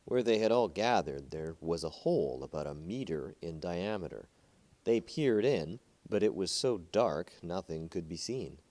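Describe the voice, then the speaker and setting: A man's voice: low voice, lively intonation, a little slow, a little mellow, dry recording. A man narrating a short story from a script as an amateur reader.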